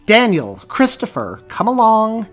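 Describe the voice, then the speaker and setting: A man's voice: high-pitched, wildly swooping pitch, a little slow, faint music behind, voice pushed up, exaggerated voice. A man voicing a kind, concerned young woman teacher in a storybook reading. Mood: calling out. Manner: soft, coaxing, then calling out.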